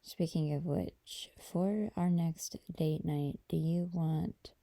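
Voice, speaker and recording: A woman playing a gentle, affectionate girlfriend in an intimate ASMR roleplay, talking softly and hesitantly to a partner. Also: steady tone, full character voice. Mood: relaxed, affectionate. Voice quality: dark, creaky low voice. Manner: soft, hesitant, unhurried conversational delivery.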